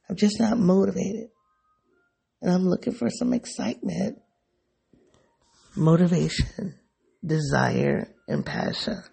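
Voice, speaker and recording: Gravelly, raspy man musing sleepily. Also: high-pitched, dark tone. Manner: musing, rambling, self-searching. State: sleepy, voice going. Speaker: vlogger. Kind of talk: personal reflective monologue.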